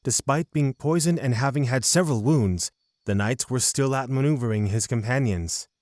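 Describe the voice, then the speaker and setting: A man's voice: medium pitch, normal speed, a little mellow, no echo, clean studio sound. A man narrating a scripted fantasy audio drama.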